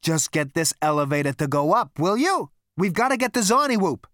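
A man's voice: fairly high pitch, expressive, measured pace, a bit bright, no room sound, studio quality, voice pushed up. A man playing a brash space rogue in a storybook, impatient, sarcastic and given to exclaiming. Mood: urgent, irritated.

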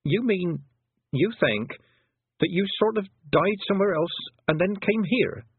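A man voicing an earnest, sharp-minded young shepherd girl who asks questions. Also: high voice, animated, a little slow, slightly rough, no room sound, voice pushed up, exaggerated voice. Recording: tinny phone-like sound.

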